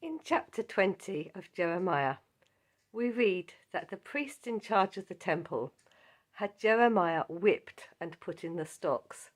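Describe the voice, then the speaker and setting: A woman leads a Bible study at an unhurried pace, her voice a little croaky. She speaks in a measured, earnest way, reading like a prepared lesson. Her pitch sits in an ordinary middle range. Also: expressive.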